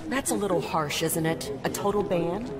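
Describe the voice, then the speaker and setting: An actress playing a sympathetic, opinionated hospital coworker in conversational, questioning game dialogue. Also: female voice, lowish voice, lively intonation, moderate pace, far from the mic, natural voice. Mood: concerned. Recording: loud music over dialogue.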